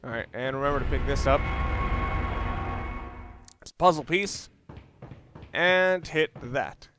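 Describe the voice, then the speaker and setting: A man's voice: on the high side, measured pace, noisy background. A man giving casual, offhand and somewhat rambling commentary while playing a video game. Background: video game music.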